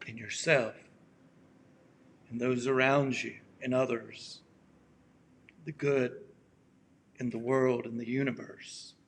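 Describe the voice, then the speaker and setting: A raspy-voiced man preaches at a very unhurried pace, with long pauses between his phrases. His delivery is gentle and halting, and his throat sounds worn by allergies. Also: medium pitch, a little hiss or hum. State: allergies acting up. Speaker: pastor. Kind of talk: slow reflective sermon.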